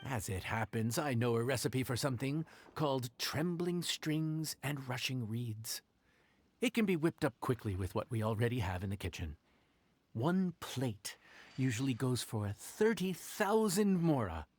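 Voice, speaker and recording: A man playing a confident, worldly cook in a video game, wry and measured as he explains, with music behind. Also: mid-range voice, very animated, darkish tone. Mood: sly, confident. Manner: measured, explanatory, self-assured.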